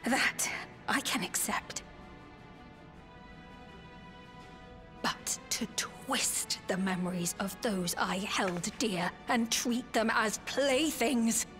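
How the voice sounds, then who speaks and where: A woman playing a regal young queen, gentle yet righteous, speaking formally and earnestly in a video game cutscene. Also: mid-range voice, slow pace, faint music behind. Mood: grave, hurt.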